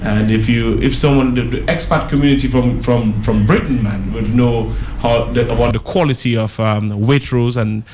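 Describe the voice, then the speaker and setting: A man, a supermarket guest on a radio talk show, chatting in a relaxed, loose way. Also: mid-range voice, normal speed, few pauses, slight background noise. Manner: relaxed, chatty, says "man" a lot, light laughs. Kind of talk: casual radio chat.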